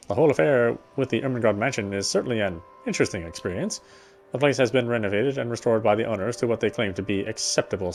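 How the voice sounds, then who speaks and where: Medium-pitched man narrating a story game. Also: darkish tone. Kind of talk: first-person story narration, read aloud. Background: faint music.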